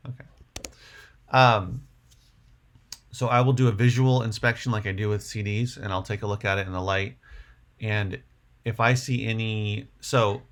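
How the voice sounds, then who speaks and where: A low, gravelly male voice with plenty of vocal fry. He talks casually as a livestream host, rambling a little and thinking out loud while he answers questions. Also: steady tone, moderate pace, long pauses.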